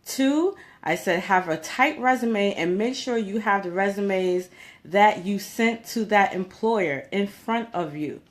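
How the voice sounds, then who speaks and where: A woman's voice: medium pitch, lively intonation, slow. A woman speaking as a career coach in an informal advice vlog, conversational and emphatic, often checking in with her listeners. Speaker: career coach giving job interview tips.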